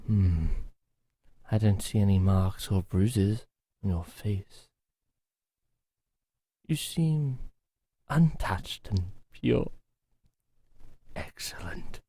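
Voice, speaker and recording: A man acting in an audio roleplay as a playful, sinister manor servant, talking slowly and theatrically. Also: lowish voice, dark tone, slightly rough, dry recording. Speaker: servant called Happiness greeting a captive.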